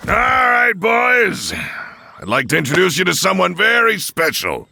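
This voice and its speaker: A man's voice: high-pitched, wildly swooping pitch, vocal fry, pushed and bright, shouting, full character voice. An actor playing a big, bearlike, jovial older male sports coach with a folksy manner in an audio drama. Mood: commanding, upbeat. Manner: jovial, folksy, drawn-out interjections.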